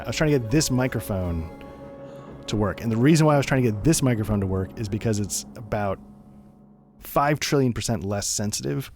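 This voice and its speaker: A man's voice: on the low side, darkish tone. A man hosting a tabletop game podcast, rambling casually and laughing often as he wraps up a session from home. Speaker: game master and podcast host. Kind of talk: casual post-session chat.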